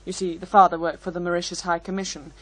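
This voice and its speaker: A woman's voice: lowish voice, flat delivery, quick pace, fairly bright tone. A woman in a radio play acting a composed, bitter daughter who speaks in a firm, articulate and scornful way. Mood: firm, explaining.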